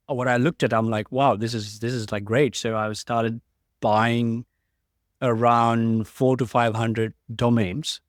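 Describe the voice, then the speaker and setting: A man in his late thirties from Sri Lanka, a tech founder telling stories as an interview guest, halting with many restarts. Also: mid-range voice, no room sound, clean studio sound. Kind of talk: casual interview storytelling.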